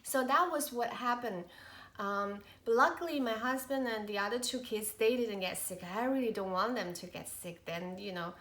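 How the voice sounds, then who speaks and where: A woman in her thirties, a mother of three, chatting casually to camera in a halting, rambling way, her voice nasal from a cold. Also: on the high side, fairly bright tone. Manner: rambling, halting, many fillers and restarts. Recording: echoey room.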